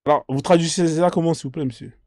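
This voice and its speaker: A man's voice: on the high side, fairly even intonation, fast talker, a little mellow, no room sound, clean studio sound. A man hosting a live online debate, polite but insistent, repeating his requests to callers.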